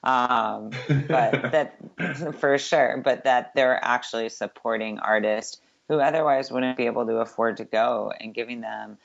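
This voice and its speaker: Deep-voiced chatty woman, gravelly. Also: steady tone. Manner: chatty, quick, with many filler words. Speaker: guest. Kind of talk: casual interview chat. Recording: dull lo-fi sound.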